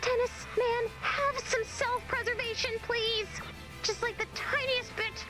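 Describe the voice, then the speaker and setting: A woman's voice: extremely high pitch, fairly even intonation, a little slow. A woman voicing an exasperated, bossy group leader in a video game, emphatic and pleading. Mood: pleading, insistent. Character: exasperated bossy leader rallying hapless friends. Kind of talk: video game character voice acting. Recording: music behind the voice.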